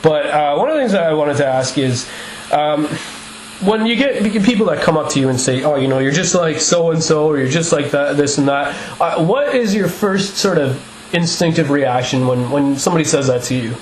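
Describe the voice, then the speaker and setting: A man interviewing a musician for a radio show, asking long, rambling questions with restarts and quick laughs. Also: a little high, animated, reverberant, slight background noise, rough recording. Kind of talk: casual interview.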